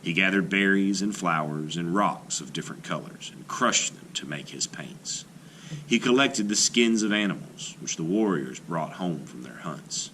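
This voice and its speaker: A man reading a children's folk tale aloud like a teacher, with deliberate, expressive phrasing. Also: deep voice, a little hiss or hum, low-quality recording. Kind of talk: storybook read-aloud narration.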